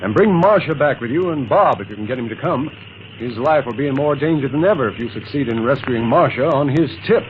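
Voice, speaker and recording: An actor playing a good-humored, steady secret-agent leader in a 1930s radio serial, amiable and instructive. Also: male voice, medium pitch, fast, lightly acted. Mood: earnest instructing. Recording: dull, noisy 1930s radio recording.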